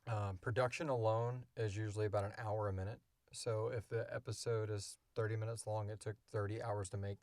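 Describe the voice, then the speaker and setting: A man's voice: lowish voice, a little mellow, dry recording. A man co-hosting a show, chatting off the cuff in an informal, rambling way as he explains things. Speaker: podcast co-creator and co-host. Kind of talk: casual unscripted Q&A chat.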